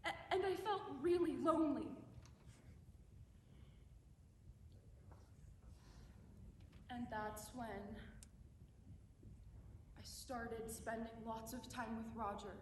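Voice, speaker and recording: A young woman performing a dramatic monologue as a remorseful mother writing to her estranged son, speaking haltingly with stumbling restarts. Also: on the high side, natural voice. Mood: tearful. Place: competition room, indoors. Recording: echoey room, distant mic, faint background hum.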